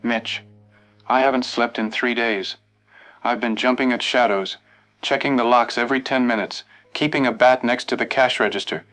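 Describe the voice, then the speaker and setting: An actor playing a sleepless, anxious motel night clerk calling in to a radio show. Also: male voice, medium pitch, fairly even intonation, moderate pace, a bit bright, soft background music, lightly acted. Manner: anxious, weary. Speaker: caller.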